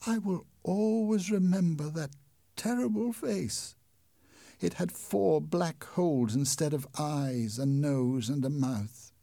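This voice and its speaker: A man's voice: fairly high pitch, takes it slowly, vocal fry, dark tone, no echo, clean studio sound. A man voicing a frightened young female singer held captive, tense and fearful. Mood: shaken.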